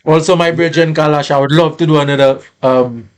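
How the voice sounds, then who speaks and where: A man's voice: a little high, fairly even intonation, a little fast, clean tone. A man with a Saint Lucian accent, a musician talking casually in an interview in Caribbean creole-flavoured English, often tagging phrases with "you know".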